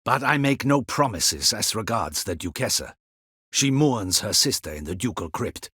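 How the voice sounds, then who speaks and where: An actor playing a proud, stern captain of a fantasy ducal guard in a video game, speaking formally in clipped, commanding, archaic courtly phrases. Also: male voice, on the low side, expressive, normal speed, bright, forceful tone, husky, dry recording, studio quality, heavily acted. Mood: curt, guarded. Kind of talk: dramatic video game voice acting.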